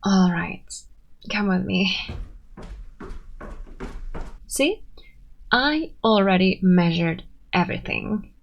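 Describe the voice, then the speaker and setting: A woman's voice: mid-range voice, animated, slow, creaky, muffled recording, heavily acted. A woman playing a tsundere friend in an ASMR roleplay, brusque and defensive but secretly sweet. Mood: bossy, flustered. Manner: brusque, defensive, softening into shy warmth. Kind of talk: ASMR roleplay, scripted monologue to listener.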